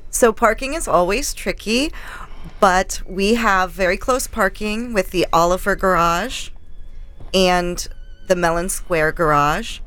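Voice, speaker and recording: A woman visiting a radio studio as a guest pianist, explaining things in a clear, practical, reassuring way. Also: mid-range voice, slow, a bit bright.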